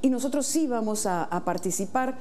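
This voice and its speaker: Earnest woman, mellow voice. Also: on the high side, animated, normal speed, a little hiss or hum. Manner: earnest, explanatory, frequent "eh" hesitations. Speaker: mayor-elect interviewed as guest. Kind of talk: studio interview answers.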